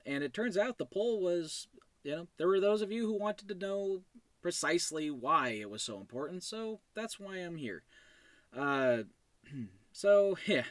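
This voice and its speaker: A man's voice: mid-range voice, dry recording. A young man talking casually as a solo podcast host, in an informal, rambling way and often correcting himself. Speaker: podcast host and show creator.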